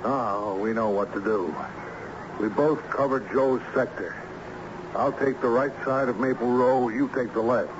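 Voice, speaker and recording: A man's voice: medium pitch, a little slow, close to an everyday voice. An actor playing a scared but practical man organising a search in a vintage radio drama. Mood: urgent, businesslike. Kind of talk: old-time radio drama acting. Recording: muffled, noisy old radio recording.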